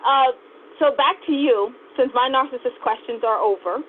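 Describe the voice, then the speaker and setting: A woman's voice: high-pitched, moderate pace. A woman co-hosting a casual interview and putting questions to the guest in a chatty way. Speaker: podcast co-host interviewer. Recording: thin phone-like radio sound, faint noise.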